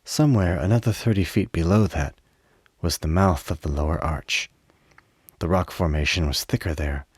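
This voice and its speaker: A man narrating a radio play with measured, literary dramatic storytelling. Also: low voice, husky, no room sound, clean studio sound.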